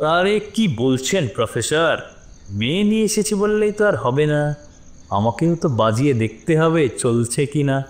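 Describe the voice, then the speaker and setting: Brash male crime boss, highish voice, swooping intonation, distrustful, for suspense audio drama. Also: animated, measured pace. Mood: suspicious, incredulous. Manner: incredulous, challenging. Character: suspicious, brash male trafficker and businessman. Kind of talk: Bengali audio drama acting.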